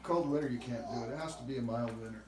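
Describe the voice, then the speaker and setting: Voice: mid-range voice, racing, a little mellow, distant mic. A person chatting casually with family in a plain-spoken, practical way about everyday know-how. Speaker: family member sharing gardening know-how. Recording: noisy, echoey room recording.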